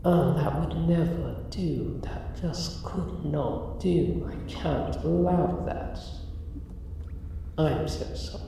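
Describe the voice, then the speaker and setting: Slow, echoing, gravelly dragon acted by a man. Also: a little high, dark tone. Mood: politely menacing. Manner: slow, deliberate, mock-polite and condescending. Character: huge, condescending, possessive male dragon guarding his treasure. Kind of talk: improvised ASMR roleplay monologue. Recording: roomy echo, distant, faint noise, cave ambience. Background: cave ambience.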